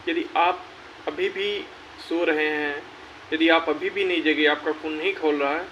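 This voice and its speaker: A man's voice: a little high, fairly even intonation, unhurried, fairly bright tone. A young man hosting his own channel, urging job candidates to join the fight and repeating phrases for emphasis. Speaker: YouTube channel host. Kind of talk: rallying address to job candidates. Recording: dull lo-fi sound with faint hiss.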